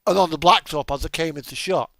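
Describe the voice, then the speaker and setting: A man's voice: on the high side, fast, creaky, a bit bright, no echo. A man chatting casually in a rambling, self-amused way as he talks through his video gaming. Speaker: video game streamer.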